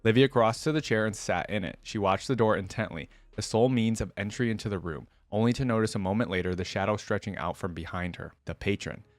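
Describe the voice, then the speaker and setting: A man with a fairly low voice reads fiction aloud as a video host. He moves between expressive narration and casual commentary, in a very dry recording. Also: quick pace, hardly any pauses, dry recording. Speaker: reaction video host reading a story aloud. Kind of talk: expressive story reading with casual asides.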